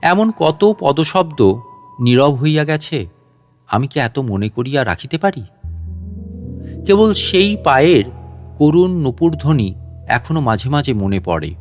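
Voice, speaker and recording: Male storyteller over soft music. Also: a little high. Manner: measured, expressive, with deliberate pauses between phrases. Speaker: storyteller, audiobook narrator. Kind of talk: dramatic literary story narration in first person.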